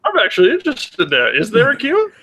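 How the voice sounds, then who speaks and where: A man's voice: very high-pitched, huge pitch swings, fast talker, pushed and bright, breathy edge. A man co-hosting a comedy podcast with casual banter, wry, blunt and happy to swear. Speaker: podcast co-host.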